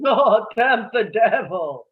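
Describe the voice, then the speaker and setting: A man playing an easygoing, teasing Sicilian countryside companion in a live table read, talking in an unhurried, amused way. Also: sits high, lively intonation, phone-quality sound.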